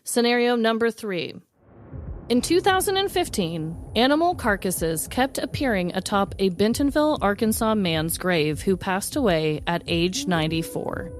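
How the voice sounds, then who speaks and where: A woman's voice: mid-range voice, expressive, normal speed, slight background noise. A woman co-hosting a podcast, telling stories in casual chat as she runs a game.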